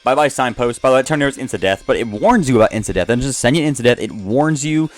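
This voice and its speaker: A man's voice: mid-range voice, expressive, fast talker. A man giving casual, off-the-cuff commentary on a video game, rambling and jumping between asides as the host. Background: game music.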